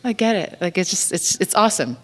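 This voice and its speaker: A woman's voice: mid-range voice, expressive, a little fast, fairly bright tone. A woman from Slovenia, a voice actor on a convention panel, chatting casually in an upbeat way with laughs between sentences. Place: convention hall. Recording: convention hall reverb.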